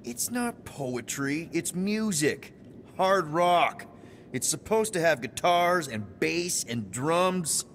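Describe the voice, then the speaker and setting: Young roguish team leader, casual, wry, exasperated, slightly high voice, game acting, faint noise. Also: male voice, animated, slow, a bit bright, full character voice. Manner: informal, wry. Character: casual, exasperated young male human space-outlaw leader. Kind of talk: conversational video game acting.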